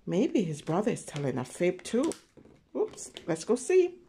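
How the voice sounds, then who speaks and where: Slow-talking woman reading to young children. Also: fairly low pitch, huge pitch swings, warm tone, slight background noise. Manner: simple, child-directed explaining with check-in questions. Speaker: children's read-aloud storyteller. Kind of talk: picture book read-aloud with commentary for young children.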